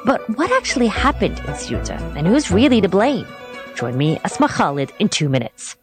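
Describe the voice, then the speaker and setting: A woman's voice: mid-range voice, wildly swooping pitch, moderate pace. A woman hosting a news podcast, presenting in scripted narration. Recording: music bed behind voice.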